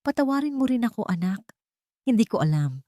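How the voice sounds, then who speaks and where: A woman's voice: a little high, sing-song, fairly quick, dark tone, no echo, pitched above the performer's own voice. Romance story lead, read by a woman: remorseful father and CEO, earnest, emotional, pleading, in a dry recording.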